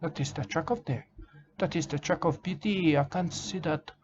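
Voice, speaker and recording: A man's voice: on the high side, highs cut off, noisy background. Rambling man saying "mate" constantly. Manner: rambling, halting, broken grammar, constant "mate" and "I can tell you". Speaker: comedic character monologue. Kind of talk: rambling comic monologue as a nature-documentary style character.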